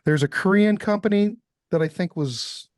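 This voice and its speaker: Higher-voiced man hosting, dry sound. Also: normal speed, darkish tone, no room sound. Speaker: interview host. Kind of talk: casual music chat.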